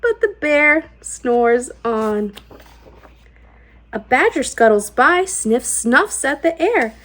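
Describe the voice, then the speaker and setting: A woman hosting a children's storytime, reading aloud in an expressive, sing-song way with deliberate rhythmic phrasing. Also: high-pitched. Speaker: children's storytime host and read-aloud reader. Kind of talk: expressive picture-book read-aloud.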